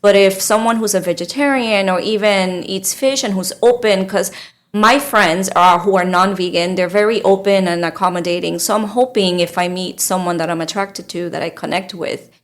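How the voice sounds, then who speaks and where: A woman's voice: mid-range voice, moderate pace. Spanish-accented woman, chatty podcast guest. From Spain. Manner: animated, laughing storyteller. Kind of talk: casual podcast chat.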